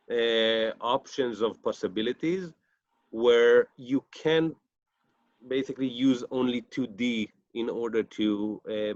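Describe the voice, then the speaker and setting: A man with an Israeli accent giving a conference presentation over a video call, speaking haltingly with many fillers. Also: mid-range voice, dry recording, lo-fi sound.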